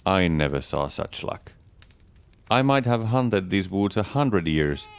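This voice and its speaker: A man giving a measured, deadpan first-person reading of a comic diary, speaking as its writer. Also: low voice, gravelly, dry recording. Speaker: audiobook reader. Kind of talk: dramatic reading of a diary as Adam.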